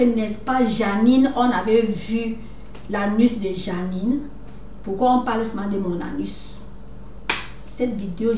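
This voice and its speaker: A woman's voice: fairly high pitch, a little mellow. Lecturing woman, echoey, far from the mic. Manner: lecturing, repeats "n'est-ce pas", many restarts. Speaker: video commentator. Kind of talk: casual monologue to viewers. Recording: echoey room, somewhat far from mic, faint noise.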